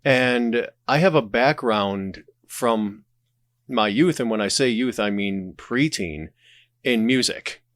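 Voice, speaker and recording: A man's voice: mid-range voice, slow pace, a bit bright, no echo, clean studio sound. A man speaking as a podcast guest in a casual, candid conversational style. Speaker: podcast guest, audio drama creator and streamer.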